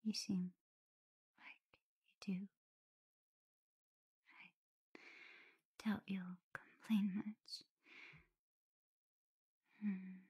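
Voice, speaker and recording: A very breathy, mellow whisper from a shy omega werewolf in love acted by a woman. She speaks tenderly and close, teasing a little and doubting herself, in an intimate ASMR roleplay. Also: medium pitch, flat delivery, extremely slow, exaggerated voice. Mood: sleepy, affectionate.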